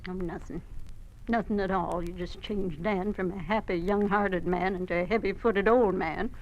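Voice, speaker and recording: An actress playing a protective, firm older farm wife, plain-spoken and homely, in an old-time radio drama. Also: female voice, on the low side, fairly even intonation, moderate pace, lo-fi sound, natural voice. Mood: scolding. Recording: noisy vintage radio drama recording.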